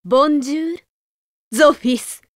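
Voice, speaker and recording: A woman's voice: high-pitched, normal speed, no room sound, clean studio sound, lightly acted. A voice actress playing a stern, resolute young noblewoman in an anime game, haughty and commanding. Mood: grim resolve.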